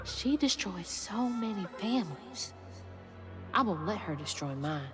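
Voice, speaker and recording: A woman's voice: mid-range voice, lively intonation, a little slow, natural voice. An actress playing a determined, distraught young woman in a dramatic video game cutscene, sounding vulnerable and defiant. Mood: bitter resolve. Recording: loud music under the voice. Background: loud cinematic game music.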